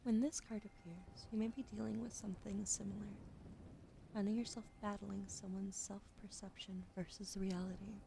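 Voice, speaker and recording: Gravelly whispering woman, noisy mic. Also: medium pitch, flat delivery, normal speed. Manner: soft whispering, gentle and encouraging. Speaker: livestream host. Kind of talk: ASMR card reading.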